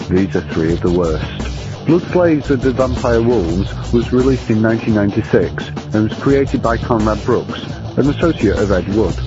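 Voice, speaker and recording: A man presenting a scripted review segment in a wry, formal manner. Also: fairly low pitch. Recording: dull, lo-fi radio-style sound. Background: prominent guitar music.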